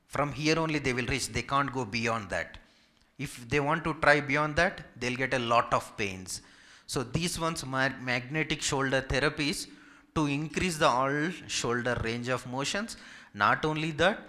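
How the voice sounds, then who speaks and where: A man's voice: mid-range voice, bright, forceful tone. A man from Hyderabad with a Telugu Indian accent, a native Telugu speaker, giving an informal medical talk as a physiotherapist, polite, repetitive and emphatic when listing. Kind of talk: informal medical presentation with audience questions.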